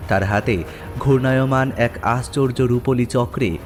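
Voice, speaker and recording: A man's voice: medium pitch, a little slow, soft background music. A man giving a dramatic scripted narration of a horror story.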